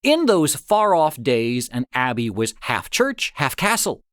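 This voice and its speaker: A man reading an old tale as scripted narration, in a dramatic, expressive storytelling manner. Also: mid-range voice, measured pace, no echo, studio quality. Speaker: story reader.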